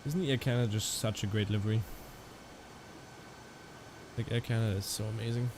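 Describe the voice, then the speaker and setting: A man's voice: lowish voice, fairly even intonation, brisk, noisy background. A man live-streaming a flight simulator, chatting casually with viewers and rambling through fillers and restarts.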